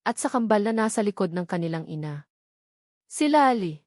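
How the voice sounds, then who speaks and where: A woman narrating a scripted romantic drama in a solemn, measured way, pausing for suspense. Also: medium pitch, darkish tone, no echo, clean studio sound. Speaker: story narrator.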